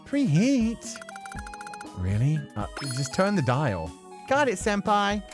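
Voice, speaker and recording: An eager, admiring young male office junior from a visual novel, as a player acts him out. The pitch swings up and down a great deal, and music plays clearly behind the voice. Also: wildly swooping pitch, takes it slowly. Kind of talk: visual novel character read-aloud.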